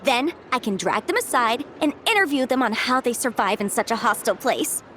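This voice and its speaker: A woman voicing a chirpy, mischievous young half-immortal legal consultant with horns in a video game, talking in a playful, breezy, animated way. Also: on the high side, fairly quick, a little hiss or hum. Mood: breezy, confident.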